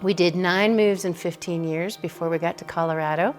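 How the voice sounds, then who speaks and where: A woman's voice: on the low side, steady tone, fairly quick. A woman in her fifties with an American accent, speaking reflectively as an interviewee. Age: 50s. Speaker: interviewee, broadcaster's wife. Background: background music.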